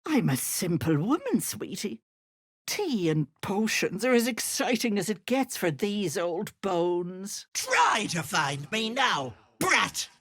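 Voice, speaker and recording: Husky, wicked old hag auntie. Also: female voice, medium pitch, sing-song, slow, fairly bright tone, exaggerated voice. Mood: gloating, threatening. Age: older. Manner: cloying endearments, gloating, theatrical menace. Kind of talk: video game character acting.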